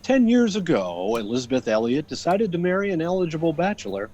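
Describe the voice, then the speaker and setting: A man's voice: fairly high pitch, big pitch swings, a little fast, darkish tone, faint music behind. A man narrating a scripted radio comedy as its theatrical announcer.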